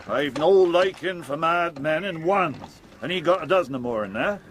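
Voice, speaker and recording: A plain-spoken working-class carriage driver in an audio drama. He is deferential yet gossipy, with a lively, fairly high delivery and faint horse-and-cart noise behind him. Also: moderate pace. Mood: wary, gossipy. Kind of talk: gothic audio drama acting.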